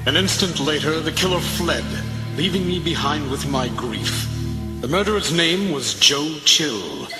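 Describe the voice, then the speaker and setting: Brooding hero narrator for animated comic: earnest, higher-pitched man, roomy sound under loud score. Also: measured pace, loud music behind the voice. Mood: sorrowful. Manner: solemn, earnest. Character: grown man, brooding hero recalling his childhood grief. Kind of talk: dramatic first-person scripted narration.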